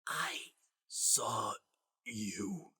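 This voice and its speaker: A man voicing a dying skeleton doctor in a story reading, gentle and solemn, with a very faint, breathy, halting whisper. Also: sits high, sing-song, pitched above the performer's own voice, heavily acted. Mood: faint, tender. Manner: slow, halting, solemn delivery. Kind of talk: dramatic fan-fiction reading.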